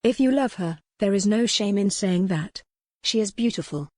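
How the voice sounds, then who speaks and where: A woman's voice: medium pitch, animated, moderate pace, darkish tone, no room sound, studio quality, natural voice. A woman voicing a proud, defiant captive noblewoman in a historical romance audiobook, speaking in a formal, pointed way. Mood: challenging.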